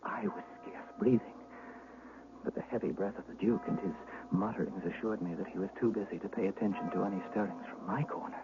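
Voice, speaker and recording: An actor playing a fearful witness who recounts a dark tale in suspenseful, literary 1970s radio narration. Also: male voice, lowish voice, fast talker, lightly acted. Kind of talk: dramatic first-person radio narration, 1970s. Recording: muffled old radio recording, clear noise.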